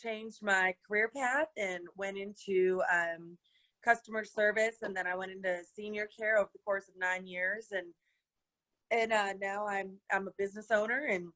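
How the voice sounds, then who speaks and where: Raspy, bright-toned woman guest, lively and quick to laugh, talking over a video call. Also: mid-range voice, low-quality recording. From Colorado, USA. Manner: energetic, laughs often, many fillers. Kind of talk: casual interview chat. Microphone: webinar video call.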